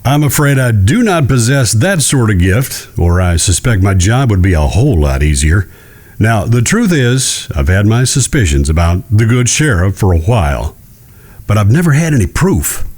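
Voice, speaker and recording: A man's voice: lowish voice, big pitch swings, a little mellow. Man playing a drawling, sardonic frontier judge, swooping delivery. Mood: dry, courteous. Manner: drawling, deliberate, dryly condescending. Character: weary, irritable, sardonic Old West circuit judge. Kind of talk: Western audio drama acting.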